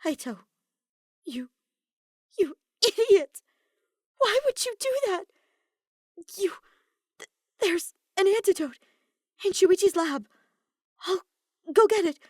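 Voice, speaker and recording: A woman's voice: extremely high pitch, animated, slow pace, long pauses, dry recording, clean studio sound, voice pushed up, exaggerated voice. A woman voicing a fierce, hot-tempered teenage girl with a snappish, intense delivery. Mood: panicked scolding.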